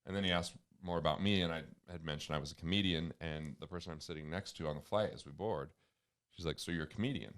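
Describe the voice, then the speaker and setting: Guest: booming-voiced man with a very low pitch, earnest and emphatic. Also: fast talker, fairly bright tone. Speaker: guest, financial author and comedian. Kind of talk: conversational interview.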